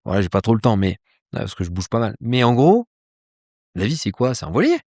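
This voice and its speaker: A man being interviewed about life and ambition, talking in a lively casual way with frequent fillers, restarts and mild swearing, outdoors. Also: mid-range voice, fairly quick, dry recording, clean studio sound. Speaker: polar explorer guest. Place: outdoors with a view of a landscape.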